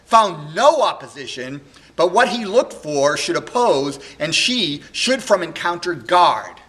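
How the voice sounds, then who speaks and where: A man's voice: on the high side, very animated, measured pace, fairly bright tone, voice pushed up, rough recording. A man playing a heartbroken, furious young husband in a Shakespeare soliloquy, declaiming bitterly and emphatically. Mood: furious, disdainful. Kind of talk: classical stage monologue.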